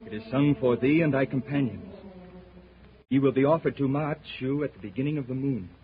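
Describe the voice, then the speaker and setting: An actor playing a solemn, loyal warrior leader in a 1930s radio serial, declaiming in archaic, formal speech. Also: male voice, mid-range voice, brisk, slight background noise, close to an everyday voice. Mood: grave, foreboding. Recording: muffled old 1930s radio recording.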